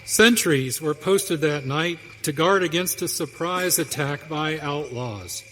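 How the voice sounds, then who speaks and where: A man's voice: fairly high pitch, takes it slowly, sharp tone. A man narrating a scripted western radio drama. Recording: hall reverb, faint noise.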